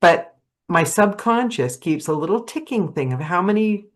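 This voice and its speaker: A woman's voice: lowish voice, lively intonation, moderate pace. A middle-aged woman in casual conversation, candid and emphatic, often putting her points as rhetorical questions. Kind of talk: casual chat.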